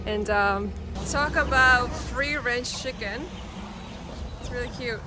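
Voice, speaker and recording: A woman's voice: a little high, background noise. Chatty woman vlogger from Peru. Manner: chatty, pauses with fillers. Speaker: travel vlogger. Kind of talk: casual travel vlog narration. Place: outdoors on a farm. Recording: music playing underneath.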